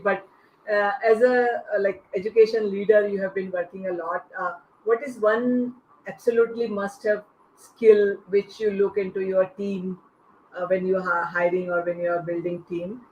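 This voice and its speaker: Halting panel host on an echoey, muffled call. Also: medium pitch, fairly even intonation, darkish tone, slight background noise. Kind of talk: unscripted online panel moderation. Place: online video call.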